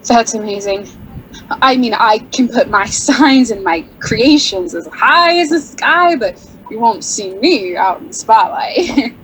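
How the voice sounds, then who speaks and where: An actress playing an earnest, shy young married artist in a radio drama, speaking hesitantly and apologetically. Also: female voice, fairly high pitch, lively intonation, a little slow, a bit bright, slight background noise, rough recording. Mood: self-effacing.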